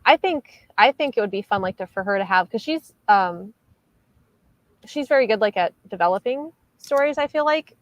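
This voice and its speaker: A woman's voice: mid-range voice, lively intonation, normal speed, no room sound, muffled recording. A woman chatting casually about books as a livestream co-host, in a hesitant, rambling way sprinkled with "like". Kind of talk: casual book chat.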